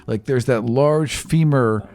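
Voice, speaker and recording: A man's voice: medium pitch, slow, darkish tone, soft background music. A man narrating a tabletop game as game master, conversationally and piece by piece as he improvises.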